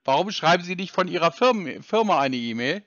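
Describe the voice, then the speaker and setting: A man with a notably high voice talks on a phone call. He is insistent and pedantic, often complaining, in a dry, slightly lo-fi recording. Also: expressive, fairly quick, a bit bright, no echo. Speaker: scambaiter on a prank call. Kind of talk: phone conversation. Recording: dry, slightly dull lo-fi sound.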